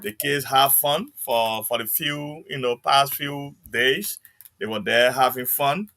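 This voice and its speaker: A man's voice: mid-range voice, slow pace, gravelly, pushed and bright. A man who co-hosts a community talk show, talking in an upbeat, chatty way. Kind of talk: casual talk show chat.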